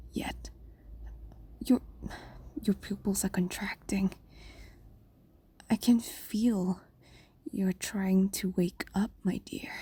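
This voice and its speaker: Woman voicing a slow, whispering fox demon lover. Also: medium pitch, no room sound, exaggerated voice. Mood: hushed, coaxing. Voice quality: soft, breathy, largely whispered. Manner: slow, soothing, hesitant pauses, coaxing. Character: possessive, tender female fox demon, ancient lover. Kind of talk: intimate fantasy audio roleplay, spoken to listener. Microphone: close microphone, dry.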